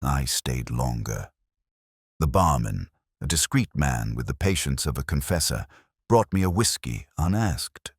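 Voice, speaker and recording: Very deep, husky, world-weary detective played by a man. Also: animated, no room sound, clean studio sound, full character voice. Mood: weary, reflective. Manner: somber, reflective, measured literary phrasing. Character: weary, melancholy male English police inspector recounting a case. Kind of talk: scripted first-person literary mystery narration.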